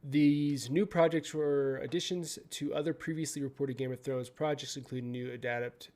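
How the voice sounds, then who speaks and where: A young adult man hosting his own channel, reading news out loud in a casual way, often stumbling over words, swearing and joking. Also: medium pitch, fairly even intonation, normal speed. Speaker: video host.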